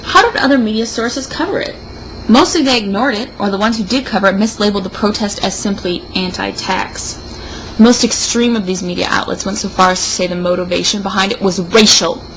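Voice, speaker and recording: Casting: woman, gravelly fry in a mid-pitched voice, tipsy mock-serious read-aloud with drawn-out emphasis. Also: fairly bright tone, slight background noise, low-quality recording. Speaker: show host reading an opinion article aloud. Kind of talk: theatrical read-aloud with mocking asides.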